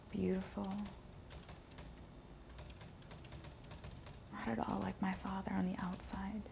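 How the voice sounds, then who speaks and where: A woman acting as a reflective interviewee in a naturalistic audio drama, speaking at a slow pace in a creaky voice. She is hesitant and confiding, as if telling a private story, with faint noise behind her. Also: mid-range voice. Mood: wistful, hushed. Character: reflective woman recounting meeting her secret half-brother.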